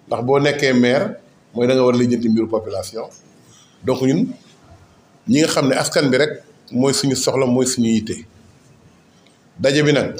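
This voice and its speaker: A man with a Senegalese accent, a mayor heading a group of mayors, giving a formal address to the press. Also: medium pitch. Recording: roomy echo, faint background noise.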